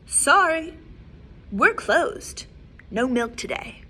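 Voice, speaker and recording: A woman voicing a group of demanding cows reading their typed notes aloud, loud and emphatic. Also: sits high, expressive, drawn-out words, slight background noise, full character voice.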